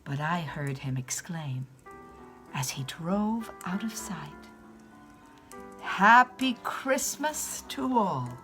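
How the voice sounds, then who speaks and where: A woman reads a storybook poem with faint music behind her. Her voice sits a little low and she takes her time, shaping each line theatrically and often sinking into a breathy hush for young listeners. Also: lively intonation, slow pace. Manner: theatrical, often dropping to hushed breathy tones. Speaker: storyteller reading a Christmas poem to children. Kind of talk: expressive storybook poem reading.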